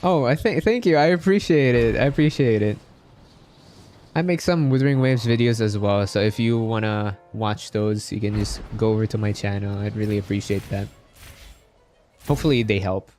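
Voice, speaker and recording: A man's voice: lowish voice, normal speed, darkish tone. A young man chatting casually on a game livestream in a friendly, laid-back, talkative way. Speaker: game streamer. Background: game music.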